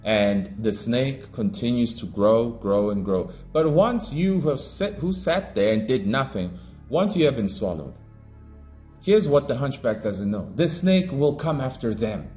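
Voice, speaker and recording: A man from Africa giving an impassioned monologue as an online commentator, preachy and emphatic, swinging between raised declarations and low muttering. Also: big pitch swings, measured pace, a little mellow, soft background music.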